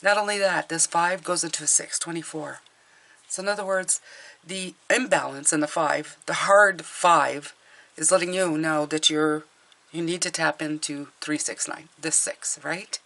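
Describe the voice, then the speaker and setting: A middle-aged woman with a hoarse voice from a cold, chatting casually through a tarot reading with fillers and restarts. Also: low voice, fairly even intonation, a little slow, bright, forceful tone. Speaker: tarot reader.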